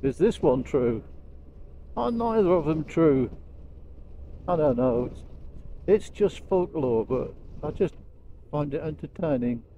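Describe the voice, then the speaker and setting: Lancashire bloke telling stories on his motorbike, soft mellow voice, bit of vehicle noise behind him. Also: a little high, animated, takes it slowly, long pauses, poor audio. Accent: Lancashire English. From Lancashire, England. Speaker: motorcycle vlogger. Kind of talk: casual storytelling commentary while riding. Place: outdoors on a moving motorbike. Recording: vehicle noise while riding.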